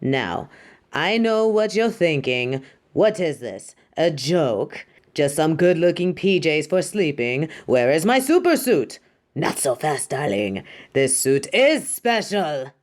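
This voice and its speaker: A woman's voice: sits low, sing-song, slow pace, darkish tone, full character voice. Woman playing a haughty, flamboyant superhero costume designer, swooping delivery. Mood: dramatic. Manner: haughty, dramatic, doting, calls the listener darling. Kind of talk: theatrical roleplay monologue to the listener.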